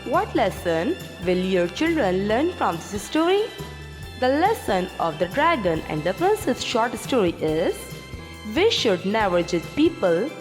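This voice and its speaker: A woman narrates children's stories in a lively, swooping voice at a slow pace. Her words are deliberate and clearly pronounced, and music plays behind her. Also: mid-range voice. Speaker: children's storyteller and teacher. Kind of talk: scripted story narration for children. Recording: long reverb, music behind.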